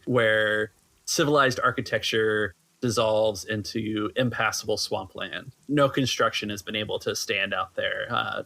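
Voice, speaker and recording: A man's voice: on the low side, creaky, pushed and bright. A man acting as game master, casually narrating scenes and explaining rules for a tabletop roleplaying game.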